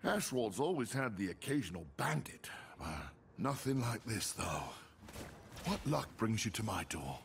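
A man's voice: medium pitch, very animated, a little slow, creaky, distant mic, noisy background. An actor playing a humble, earnest villager and father in a fantasy game, rustic in manner. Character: humble, grateful male villager, worried father.

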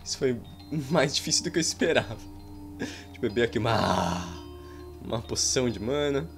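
A Brazilian man in his thirties talks over his gameplay at a home desk, his pitch bouncing around as he jokes and reacts. His delivery is playful and animated. Faint game music sits underneath his voice. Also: normal speed, creaky. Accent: Brazilian Portuguese. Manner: jokey, exclaims and sighs at game events. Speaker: gameplay streamer. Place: small home room.

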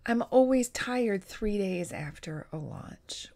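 A woman in her forties with a gravelly voice, talking casually to a webcam as a creative coach in her home studio. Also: medium pitch, slow pace. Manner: warm, encouraging, animated with hand gestures. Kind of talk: casual direct-to-camera vlog. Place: home studio room with bookshelves and string lights. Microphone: webcam at desk distance.

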